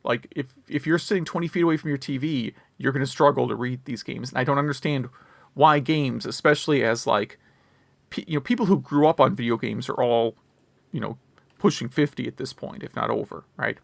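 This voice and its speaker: Male podcast co-host, gravelly mid-range voice, casual, rambling, wry griping. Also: medium pitch, brisk, dry recording. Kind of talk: casual chat about video games.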